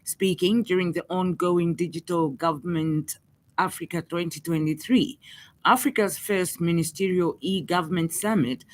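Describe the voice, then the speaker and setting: A woman with a Zambian accent reading a scripted radio news bulletin as presenter. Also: fairly low pitch.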